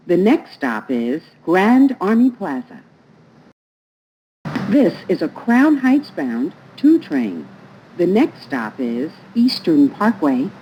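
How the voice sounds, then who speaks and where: Female transit announcer, lowish voice, formal and scripted, with faint train noise behind. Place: recorded announcement played over subway car speakers. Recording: muffled, noisy recording inside train. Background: train ambience noise.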